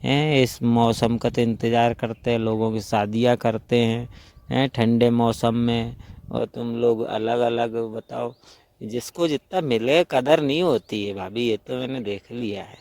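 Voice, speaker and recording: A man's voice: mid-range voice, fairly even intonation, a little slow, darkish tone, close to an everyday voice. A man playing a chatty, flirtatious unmarried brother-in-law in a staged phone-call role-play, talking in a casual, rambling, gossipy way. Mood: concerned, chiding. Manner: conversational, gossipy, rambling storytelling.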